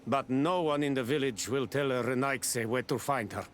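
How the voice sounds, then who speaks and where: A man's voice: mid-range voice, normal speed, slight background noise, close to an everyday voice. A man playing a wary, formal village man in a video game. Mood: guarded, dismissive. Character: wary male villager of a sacred island village. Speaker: game character giving a quest. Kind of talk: video game dialogue acting.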